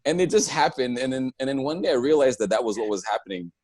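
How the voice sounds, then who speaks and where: Animated, laughing man on a video call. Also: mid-range voice, quick pace, dry recording. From Nigeria. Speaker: guest, singer and actor. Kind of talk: casual chat. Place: home room indoors. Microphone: earphone mic on a video call.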